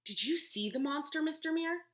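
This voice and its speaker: A woman's voice: sits high, animated, moderate pace, voice pushed up. A woman voicing a curious, eager little girl who asks questions in a raised voice, in an echoey room.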